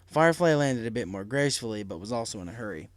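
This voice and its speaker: A man's voice: mid-range voice, expressive, fast talker, no room sound. A man narrating a dramatic story from a script as an audiobook reader. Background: faint music.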